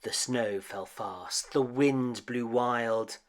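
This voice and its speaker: A man reading a children's bedtime story aloud as an expressive storyteller. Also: mid-range voice, drawn-out words.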